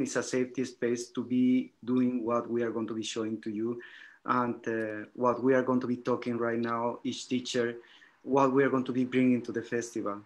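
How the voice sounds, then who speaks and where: Man from Mexico hosting informally. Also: medium pitch. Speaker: host and teacher presenting a festival. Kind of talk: informal online presentation.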